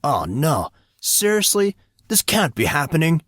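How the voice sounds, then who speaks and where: A man voicing an indignant, excitable teenage high school boy who talks in slangy exclamations. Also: unhurried, dry recording, studio quality, heavily acted. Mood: dismayed.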